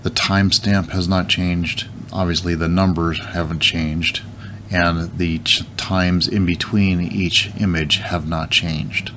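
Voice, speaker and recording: A middle-aged man with a very low voice talks through a timeline at an unhurried pace, thinking aloud and correcting himself now and then. A faint hiss sits under the voice-over. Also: little pitch movement, fairly bright tone. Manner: loose, thinking aloud with restarts. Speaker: channel host. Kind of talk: casual explainer over screen recording.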